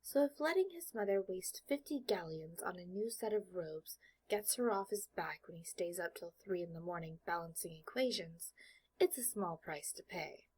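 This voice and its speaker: A woman's voice: on the low side, lively intonation, moderate pace, a little mellow, breathy edge, low-quality recording. A woman reading scripted fiction as an audiobook narrator, telling the story in present tense.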